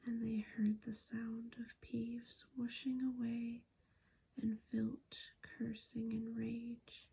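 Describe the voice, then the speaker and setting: A woman reading a children's story aloud in a breathy whisper. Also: on the high side, monotone, slow pace, warm tone. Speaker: reader.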